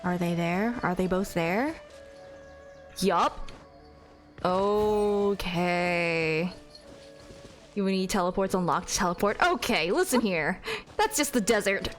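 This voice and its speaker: A woman's voice: fairly low pitch, animated, slow pace, pauses often, faint music behind. A woman game streamer chatting casually and reacting aloud with playful asides.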